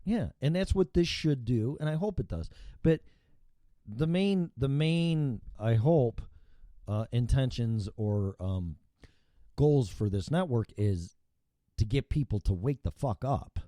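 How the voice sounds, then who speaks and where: Blunt, animated middle-aged man. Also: mid-range voice, takes it slowly, dry recording, clean studio sound. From Chicago area, United States. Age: middle-aged or older. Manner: blunt, profane, emphatic, repeats phrases. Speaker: talk show host. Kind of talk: casual opinionated chat.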